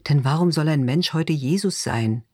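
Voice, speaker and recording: A man's voice: on the high side, moderate pace, darkish tone, no echo, clean studio sound. A man narrating literary fiction in a scripted audiobook reading style.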